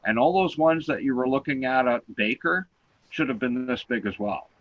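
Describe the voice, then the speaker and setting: A man with a loud voice, co-hosting an online talk show and patiently explaining things step by step. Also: mid-range voice, lively intonation, normal speed, highs cut off. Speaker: co-host explaining seismograms.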